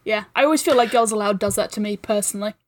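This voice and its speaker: A woman's voice: mid-range voice, racing. A woman guest-hosting a film podcast in casual, conversational talk.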